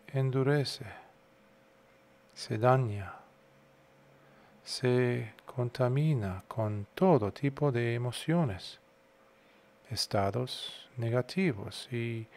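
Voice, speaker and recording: A man guiding a meditation as a monk would, unhurried, in short phrases separated by long pauses. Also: medium pitch, darkish tone. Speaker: Buddhist monk, meditation guide. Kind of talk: guided meditation talk.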